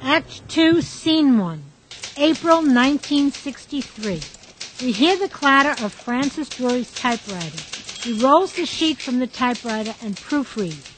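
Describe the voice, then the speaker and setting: A woman narrates a radio drama, reading out stage directions in a fairly high voice. The recording carries clear noise and clicks. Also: animated, measured pace, low-quality recording.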